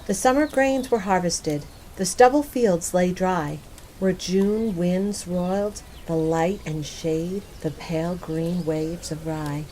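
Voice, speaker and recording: A woman reciting poetry in a measured, lyrical and expressive manner. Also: fairly low pitch, a little hiss or hum, rough recording. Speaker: poetry reader.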